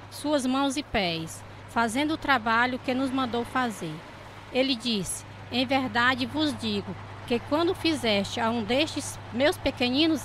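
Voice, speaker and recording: A woman's voice: fairly high pitch, noisy background. A woman acting as a devout, patient charity worker in a radio drama, speaking earnestly with a Brazilian accent. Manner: earnest, preaching.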